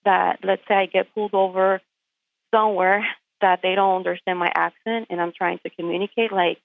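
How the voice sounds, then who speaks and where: Gravelly Puerto Rican woman on a phone line. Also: medium pitch, fairly even intonation, moderate pace. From Puerto Rico. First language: Spanish. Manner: direct, emphatic, argues with rhetorical questions. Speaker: truck driver, interview guest. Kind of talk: interview by phone.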